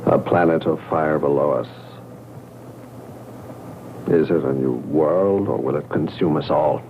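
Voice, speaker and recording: An actor playing a solemn, tense space explorer reporting over radio in a 1960s science fiction film. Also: male voice, extremely low pitch, measured pace. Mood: solemn, awed. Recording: tinny, noisy old film radio sound.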